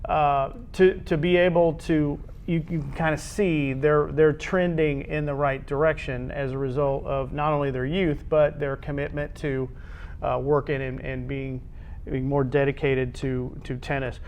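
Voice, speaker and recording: A man's voice: a little high, flat delivery, measured pace, darkish tone, a little hiss or hum. An American man co-hosting a casual discussion about sports. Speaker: co-host.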